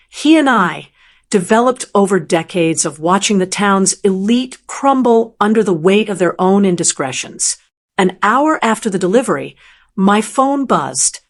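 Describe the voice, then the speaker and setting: A woman in her sixties narrating a first-person story in a calm, measured, wry manner. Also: mid-range voice. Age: 60s.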